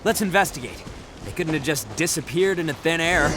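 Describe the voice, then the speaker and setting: A man's voice: fairly high pitch, lively intonation, a little slow, background noise. A man voicing a brash, boastful young male oni gang boss in a game, with a good heart and a boisterous, laughing bravado. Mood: cocky. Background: music.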